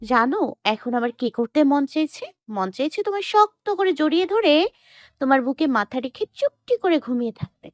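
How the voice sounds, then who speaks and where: A woman's voice: sits high, very animated, normal speed, no echo, studio quality. A woman playing a lovesick, clingy young woman with a pleading, childlike insistence in an audio love story. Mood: affectionate.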